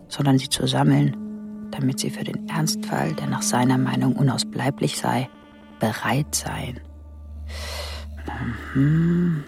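Hushed, gravelly earnest woman investigator. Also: sits low. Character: earnest woman investigating secret files, quietly troubled. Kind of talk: radio drama dialogue and reading aloud. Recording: studio radio drama with music underneath. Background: music.

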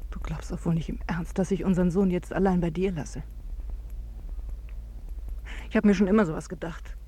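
An actress playing a hurt, bitter wife and mother in a radio drama, speaking in a hushed, accusing way. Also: lowish voice, fairly even intonation, normal speed, slightly rough.

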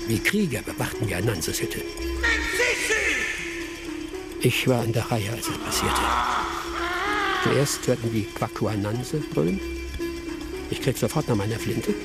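A village hunter spins his tale as a male actor plays him in a creaky, mid-pitched voice. He is measured and sly, weighing his words as a storyteller in a radio drama, with music clearly behind him. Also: lightly acted. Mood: tense recounting.

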